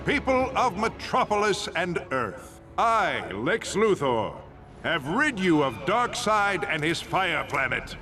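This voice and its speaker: A male actor voicing a smug, scheming supervillain mastermind in an animated video game, with a pompous, theatrical way of speechifying. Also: high-pitched, slow, music in the background, exaggerated voice. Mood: smug, grandiose.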